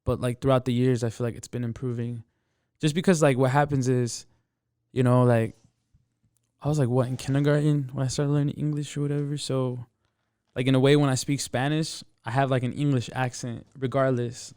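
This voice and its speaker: Young guy just chatting, chill and slangy, lots of bro, smooth voice. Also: mid-range voice, little pitch movement, a little fast, a little mellow, dry recording, clean studio sound. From United States. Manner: laid-back, slangy, says "bro" often. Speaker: interview guest, musician. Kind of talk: casual interview chat.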